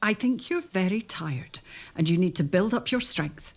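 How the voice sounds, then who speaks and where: An actress playing a genteel sister-in-law in a period audio drama, who talks down gently and coaxingly. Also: female voice, medium pitch, lively intonation, normal speed.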